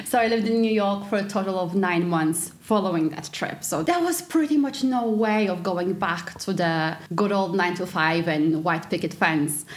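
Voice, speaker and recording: A woman in her thirties, a native Polish speaker, talking as a podcast guest in a relaxed, reflective way, with many fillers and restarts. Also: on the low side, moderate pace, hardly any pauses. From Poland. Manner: reflective storyteller, frequent fillers and restarts, laughs easily. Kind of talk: casual interview chat.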